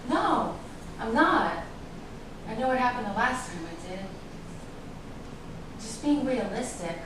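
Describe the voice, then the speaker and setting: A woman's voice: on the high side, big pitch swings, slow pace. Echoey noisy recording, woman playing a seductive, guarded lover, stage drama reading. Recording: echoey room from far off, noisy.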